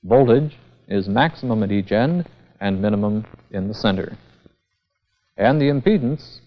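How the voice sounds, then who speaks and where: A man giving a formal, methodical scripted technical lecture as the instructor in a vintage educational film. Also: lowish voice. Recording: dull, band-limited vintage 16mm film sound.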